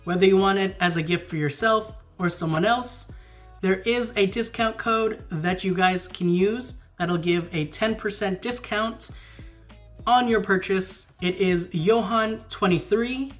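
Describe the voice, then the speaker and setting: A man's voice: high-pitched, measured pace, a bit bright, faint music behind. A young man chatting casually to his viewers in a travel vlog, giggly and direct, filmed at home by a window. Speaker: vlogger.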